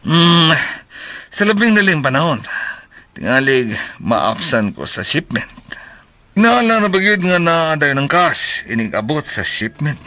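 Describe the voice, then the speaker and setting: A man's voice: fairly high pitch, big pitch swings, unhurried, bright, forceful tone, full character voice. A man with a Cebuano Filipino accent playing a greedy, mocking crime accomplice in a radio drama. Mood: sly, menacing. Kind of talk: Philippine radio drama acting. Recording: radio drama with music underneath.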